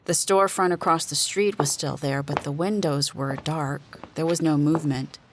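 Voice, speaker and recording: Low-voiced woman reading suspense fiction. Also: fairly even intonation, normal speed, noisy background. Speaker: narrator and performer of a story. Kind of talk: dramatic first-person suspense story reading.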